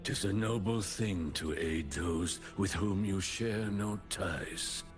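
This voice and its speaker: A man's voice: deep voice, slow pace. An actor playing a fretful, world-weary townsman in a fantasy video game, with theatrical, archaic, lamenting speech. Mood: grateful, mournful. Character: fretful, courtly male townsman, grateful yet world-weary. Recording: music behind.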